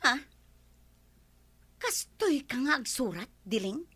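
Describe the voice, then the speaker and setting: A woman's voice: sits high, sing-song, slow pace, sharp tone, breathy edge, exaggerated voice. A woman playing an irritable, bossy female schoolteacher who scolds in exasperation in an Ilocano radio comedy drama. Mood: irritated, scolding.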